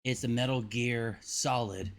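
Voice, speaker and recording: A man hosting a reaction video, talking casually in a halting way with short muttered comments. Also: mid-range voice, fairly even intonation.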